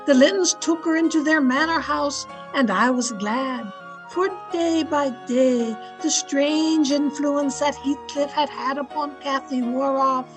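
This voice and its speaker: A woman playing a devoted servant who tells the story in a radio drama, measured and dutiful. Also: sits high, music in the background, poor audio. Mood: somber storytelling. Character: Ellen, devoted old female servant telling a story.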